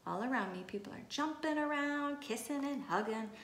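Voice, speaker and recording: A woman reading a children's picture book aloud in an expressive way. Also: sits high, normal speed. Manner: expressive, acting out exclamations and dialogue. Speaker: storybook reader. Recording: echoey room, clear background noise.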